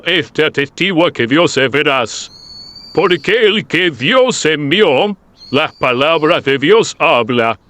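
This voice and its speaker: A man's voice: on the high side, fairly bright tone. A man playing an earnest, humble prophet in a dramatized scripture audiobook, speaking in a solemn, declamatory way. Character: John the Baptist, earnest humble male prophet.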